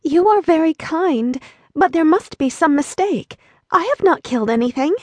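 A woman's voice: high voice, animated, moderate pace, no echo, voice pushed up. A woman voicing an innocent, earnest young girl in a storybook reading. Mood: hesitant. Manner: earnest, easily alarmed.

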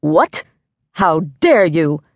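A woman voicing a pompous, excitable male dowser with wordy, formal diction in an audiobook story. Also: low voice, drawn-out words, creaky, no room sound, clean studio sound, full character voice. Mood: indignant.

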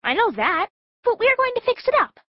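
A woman's voice: extremely high pitch, big pitch swings, brisk, no room sound, muffled recording. A female performer playing an eager, earnest ten-year-old girl in a full-cast audiobook.

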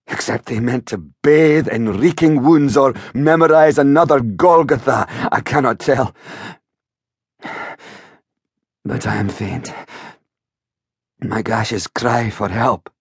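Man acting a husky, wounded old-world soldier. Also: mid-range voice, no room sound, studio quality. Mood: strained, failing. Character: wounded, exhausted soldier, proud battle-worn sergeant. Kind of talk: dramatized Shakespeare verse audiobook.

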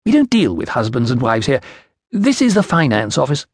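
A man's voice: medium pitch, very animated, normal speed, husky, no room sound, studio quality. A man voicing an inexperienced young Roman official in an audiobook, hesitant, polite and awkwardly bureaucratic. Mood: awkward, dismissive.

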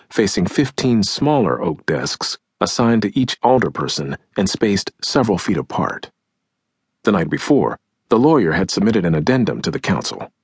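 A man narrating a nonfiction audiobook in a measured, deliberate, dramatic way, with a husky voice, recorded close in a dry studio. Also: lowish voice, no room sound. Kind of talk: scripted nonfiction audiobook narration, acting out quotes.

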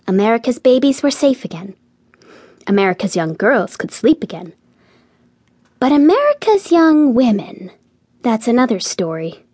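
Dreamy teenage girl, breathy and husky. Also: on the high side, sing-song, normal speed, pauses often, dark tone, dry recording, studio quality. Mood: dreamy, confiding. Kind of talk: audio drama monologue and dialogue.

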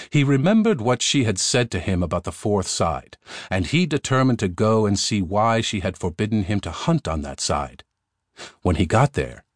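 A man's voice: lowish voice, no echo, clean studio sound. A man narrating a fairy tale audiobook in a scripted storytelling style. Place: recording studio.

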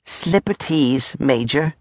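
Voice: mid-range voice, very animated, moderate pace, no echo, studio quality, pitched above the performer's own voice. A performer voicing a meek, simple-minded soldier in a storybook, coy and obsequious, with muddled fussy phrasing.

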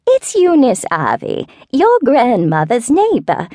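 A woman voicing a fussy elderly neighbor with a Southern accent, who talks in a slow, meandering, wavering falsetto. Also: huge pitch swings, warm tone, dry recording, clean studio sound, full character voice. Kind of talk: audiobook character voice.

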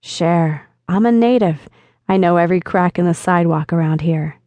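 Lady narrator doing a cocky flirty guy in an audiobook, smooth and teasing, dry close sound. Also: on the low side, moderate pace, dark tone, dry recording, natural voice. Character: flirtatious confident man chatting online. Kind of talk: audiobook dialogue reading.

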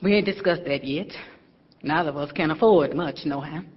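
A woman's voice: deep voice, moderate pace, breathy edge, long reverb tail, highs cut off. An actress playing a stern, churchgoing mother who scolds in folksy, emphatic speech. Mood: guarded. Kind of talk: stage drama acting.

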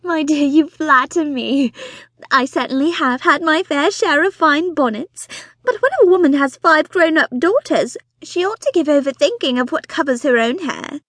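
A woman voicing an excitable, fussy middle-aged mother who gushes and grows impatient with her husband. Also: moderate pace, dry recording. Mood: excited, gushing. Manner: shrill, gushing, impatient.

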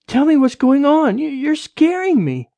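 An anxious wife in an audiobook thriller, performed by a male narrator, pitched well up and swinging widely. She pleads and stammers, worried for her husband. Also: very animated, measured pace, mellow, slightly rough, no echo, heavily acted. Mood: upset, pleading.

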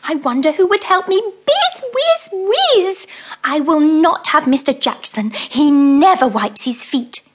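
A woman's voice: sits high, sing-song, a little slow, pitched above the performer's own voice. A woman voicing a fussy, very tidy little female mouse who talks in a prim, scolding way.

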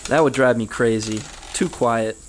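A man's voice: medium pitch, normal speed, close to an everyday voice. Casting: male actor as young cowpoke son, teasing, folksy, mid-range voice, slight background noise. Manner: plain-spoken, folksy. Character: lively grown son of a frontier marshal, teasing. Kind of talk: western radio drama.

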